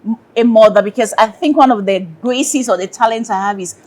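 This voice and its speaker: A woman with a Cameroonian accent speaks in a fairly high voice. She tells personal stories conversationally as an interview guest, expressive and prone to repeating a phrase for emphasis. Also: brisk. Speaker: interview guest, caterer and entrepreneur.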